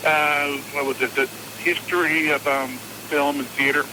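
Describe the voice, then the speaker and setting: A man's voice: fairly high pitch, level tone, normal speed. A man in his twenties chatting casually as a caller to a radio show. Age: 20s. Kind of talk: casual phone-in chat. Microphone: telephone. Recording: noisy phone call on radio.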